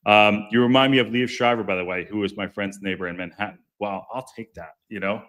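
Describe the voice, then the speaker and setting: A man hosting a podcast, chatting casually and jokingly with live viewers in a deep, strong voice, often stumbling and restarting his sentences. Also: fairly even intonation, fairly quick, a bit bright. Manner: rambling, self-interrupting, stumbles over words; chatty and jokey. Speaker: podcast host. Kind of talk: casual live-stream chat reading viewer comments.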